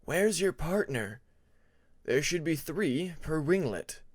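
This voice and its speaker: A man acts out a male dragon who teaches history in a fantasy audiobook. He sounds formal and authoritative, giving instructions with animated pitch in a dry, close recording. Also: slow pace, dry recording. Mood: questioning.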